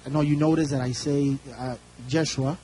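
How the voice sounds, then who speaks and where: A man's voice: on the high side, steady tone, mellow. A man interpreting a sermon live, following the preacher phrase by phrase, often restarting his sentences. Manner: quick, restarting, echoes the speaker phrase by phrase. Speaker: church interpreter.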